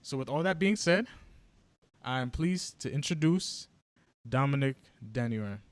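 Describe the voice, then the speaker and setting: A man's voice: mid-range voice, lively intonation, normal speed, no room sound. A man hosting a podcast interview, introducing his guest with enthusiasm and an easy, friendly laugh. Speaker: podcast host.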